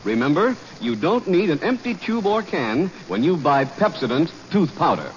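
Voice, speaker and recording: A brisk, persuasive male announcer on a 1940s radio show. His voice swings lively and sits a bit high. Dull broadcast sound with a faint hiss.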